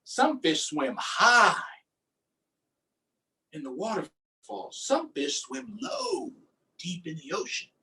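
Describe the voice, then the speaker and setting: A man's voice: sits high, huge pitch swings, slow pace, gravelly, pauses often, sharp tone, far from the mic. A man hosting a storytime, reading aloud and chatting simply and encouragingly with young children. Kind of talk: read-aloud and casual chat to young children.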